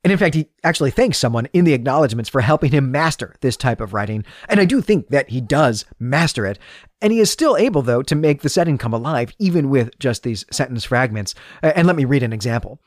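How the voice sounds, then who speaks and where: A man's voice: medium pitch, expressive, fast talker, no echo, studio quality. A man hosting a book review podcast, speaking in articulate, literary, carefully phrased commentary. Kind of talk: solo book review commentary, partly scripted.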